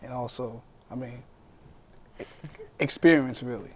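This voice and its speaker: A man's voice: medium pitch, fairly even intonation, measured pace. A man in his mid twenties chatting casually as a guest, hesitant and repeating himself as he searches for words. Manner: hesitant, repetitive, trailing off. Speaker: panel guest. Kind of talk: casual chat. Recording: faint background noise, room ambience.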